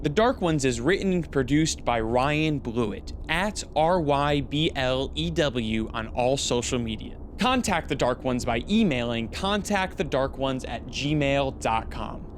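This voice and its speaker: Guy narrating radio drama, slow n measured, mid pitch, noisy behind. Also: lively intonation. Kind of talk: scripted narration. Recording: noticeable background noise.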